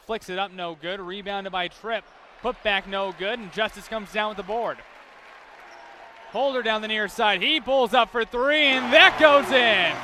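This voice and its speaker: A high-voiced American man calls a basketball game live. He follows the action in running detail as the play-by-play announcer. Clear arena noise sits behind his voice. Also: sharp tone. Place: courtside in a large basketball arena.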